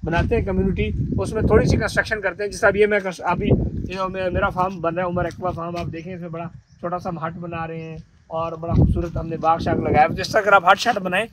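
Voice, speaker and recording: Pakistani man pitching property outdoors. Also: flat delivery, quick pace. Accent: Pakistani Urdu. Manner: upbeat sales pitch, pointing out sights while talking. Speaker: property consultant. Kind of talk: promotional property walkthrough. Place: outdoors on a rooftop at a rural farm estate. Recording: loud outdoor wind and ambient noise.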